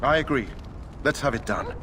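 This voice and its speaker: A man's voice: mid-range voice, normal speed, noisy background, close to an everyday voice. A man playing a reluctant, anxious recruit in a fantasy game, plain-spoken and complaining. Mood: uneasy. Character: reluctant, anxious male knight recruit, grumbling. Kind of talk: fantasy video game acting.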